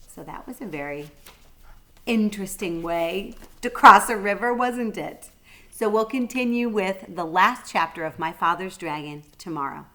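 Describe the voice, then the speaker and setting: A woman's voice: medium pitch, expressive, normal speed, sharp tone. A woman reading a children's storybook aloud like a teacher, expressively and speaking directly to young listeners.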